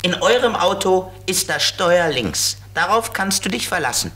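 A man voicing a grumbling flying companion with a husky, expressive voice in a children's radio play. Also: high-pitched, normal speed, exaggerated voice. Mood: patiently explaining.

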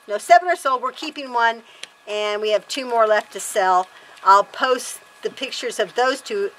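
A woman's voice: fairly high pitch, a bit bright, a little hiss or hum, poor audio. A woman in her fifties chatting casually to camera outdoors on a wooden deck, rambling and correcting herself as she talks. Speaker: homesteader vlog host.

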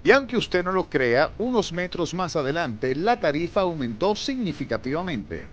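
A man narrates a news report in a measured, formal reading. His voice sits somewhat high. A faint hiss of background noise runs under the voice-over. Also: sing-song, a bit bright, lo-fi sound.

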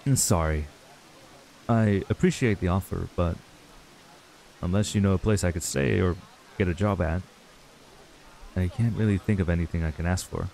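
A man acting a stressed, earnest young runaway in an intimate audio roleplay, speaking haltingly with sighs. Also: low voice, gravelly, darkish tone, rough recording. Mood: apologetic, subdued. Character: stressed young man, frustrated runaway reuniting with childhood friend.

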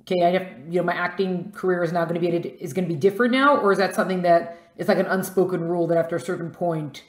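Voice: medium pitch, steady tone, fast, hardly any pauses, echoey room. A podcast host asking questions casually over a video call, with frequent encouraging backchannel. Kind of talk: casual interview chat.

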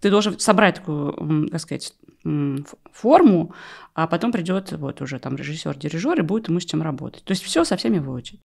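Middle-aged woman, podcast guest, gravelly fry, wry, halting conversational storytelling, close studio mic. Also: low voice, animated. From Russia. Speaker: interview guest, opera rehearsal pianist. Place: small furnished podcast studio. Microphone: close studio microphone on a stand.

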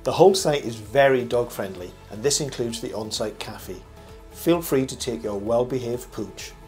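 An older man reading a scripted review voice-over as host, in a measured, formal presenter manner. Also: medium pitch, lively intonation, a little mellow. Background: background music.

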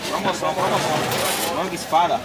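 High-voiced market vendor calling prices. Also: male voice, steady tone, fast, very noisy. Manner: calls out prices and deals. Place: outdoor street market stall. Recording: loud market crowd around.

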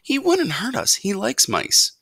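A cocky young storybook mouse, played by a woman, bursting to see the world. The voice is raised high and bright, with lively, bouncing pitch and a stout, eager manner. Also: a little slow, creaky, slightly rough, no room sound, clean studio sound, heavily acted. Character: plucky, boastful young boy mouse eager for adventure. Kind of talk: children's storybook character voice.

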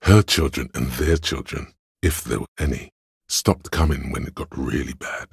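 An actor playing a detective's inner voice of logic in a video game, a grave, analytical man with a gravelly rasp and a deliberate, dry, detached delivery. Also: rumbling low, no echo, heavily acted. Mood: detached, reasoning.

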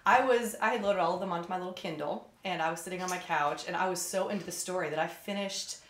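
A woman's voice: fairly low pitch, fast. A woman telling an anecdote at a casual roundtable in an enthusiastic, emphatic way, quoting herself. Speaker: voice actress on a podcast panel. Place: room, group around a table. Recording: roomy raw recording, other voices around.

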